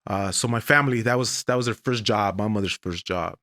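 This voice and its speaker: Deliberate low-voiced man telling his story. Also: sharp tone, no room sound, studio quality. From Mexico. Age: 40s. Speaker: guest, English professor. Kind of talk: podcast interview, telling his life story.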